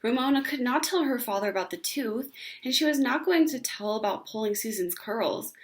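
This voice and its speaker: A woman reading a children's book aloud as an expressive storyteller. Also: on the high side, moderate pace.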